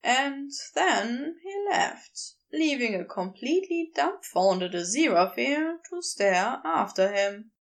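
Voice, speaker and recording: A woman reading a story aloud as a narrator, in expressive scripted narration. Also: sits high, takes it slowly, vocal fry, hardly any pauses. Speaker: podfic narrator.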